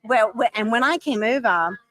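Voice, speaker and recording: A woman's voice: a little high, animated, moderate pace. Woman with a faded Australian accent. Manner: chatty, laughs often, warm agreement sounds. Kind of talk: casual chat.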